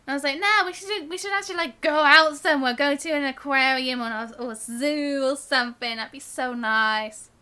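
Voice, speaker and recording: A woman's voice: sits high, normal speed, hardly any pauses, bright, forceful tone. A young British woman chatting casually on a game live stream, girlish and chatty with sing-song emphasis.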